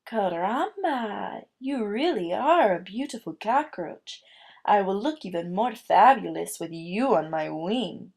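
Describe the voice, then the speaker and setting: A woman's voice: mid-range voice, expressive, darkish tone. A woman voicing a vain male rooster suitor with a hot temper in a storybook, boastful and drawing out the words. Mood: preening. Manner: crooning, boastful, drawn-out words.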